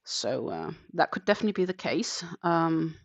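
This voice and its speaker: Danish woman, gravelly voice, lo-fi stream. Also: low voice, moderate pace. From Denmark. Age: 30s. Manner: relaxed, counts stitches aloud, chatty with viewers. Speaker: crochet channel host. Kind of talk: casual live-stream chat while crocheting. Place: home in the Netherlands. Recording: dull lo-fi live-stream audio.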